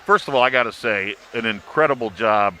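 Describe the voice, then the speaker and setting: A man's voice: lowish voice, brisk, bright, forceful tone. A man working as a radio play-by-play announcer, chatting casually on air in a folksy, joking way. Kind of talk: casual broadcast banter and commentary. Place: sports arena. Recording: noisy arena ambience. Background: clear arena noise.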